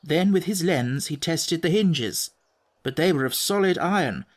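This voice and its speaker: A man reading literary fiction as an audiobook narrator, measured and precise in his storytelling. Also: a little high, expressive.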